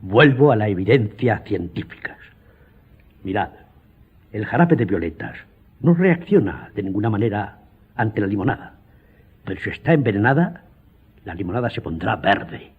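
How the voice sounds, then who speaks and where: An actor playing a grave, commanding doctor in a radio drama, questioning sternly in a raspy, breathy voice. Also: male voice, lowish voice, expressive, moderate pace, long pauses. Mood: grave, insistent. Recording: dull lo-fi sound.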